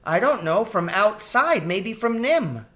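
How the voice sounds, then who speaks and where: A man's voice: sits high, slow, voice pushed up, heavily acted. A man voicing a small young girl rat in a storybook, childish, wary and chatty. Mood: suspicious. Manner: childish, excitable, suspicious questioning. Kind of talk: storybook character voice in a read-aloud.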